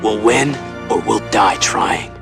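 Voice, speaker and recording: Blunt, determined young racer hero. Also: male voice, lowish voice, slow, creaky, over music. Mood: defiant. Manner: blunt, truculent.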